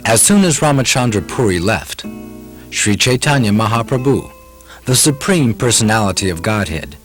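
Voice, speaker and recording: A man narrating a puppet-show story from a script in a measured, solemn way. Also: on the low side, animated. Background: music.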